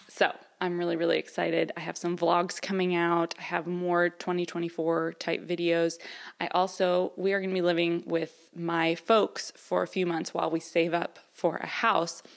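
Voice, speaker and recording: Woman in her thirties with a slightly low voice; casual, rambling vlog chat to camera. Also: gravelly. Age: 30s. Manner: chatty, rambling, with frequent fillers and small laughs. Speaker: vlogger. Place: her mother's house, indoors.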